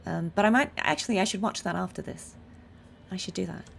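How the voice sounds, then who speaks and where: A woman's voice: medium pitch, animated, a little fast, gravelly, a bit bright, slight background noise. A young woman, a voice actor, chatting casually while streaming a game, thinking aloud with lots of fillers.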